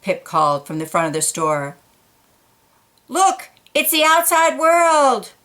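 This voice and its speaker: Slow, gentle woman reading a picture book. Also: high voice, sing-song. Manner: slow, gentle, pointing out pictures in quiet asides. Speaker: children's book reader.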